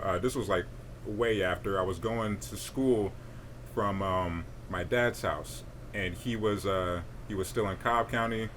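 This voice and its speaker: A man's voice: a bit bright, slight background noise. A young man, chatting casually on a podcast, laid-back and rambling with many fillers. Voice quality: deep voice. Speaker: podcast co-host. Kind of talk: casual chat.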